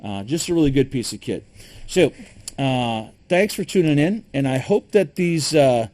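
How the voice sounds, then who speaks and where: A man's voice: medium pitch, moderate pace. Laid-back Michigan man demoing gear. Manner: relaxed, lots of fillers and restarts, matter-of-fact. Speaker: preparedness gear reviewer. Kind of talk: casual gear demonstration.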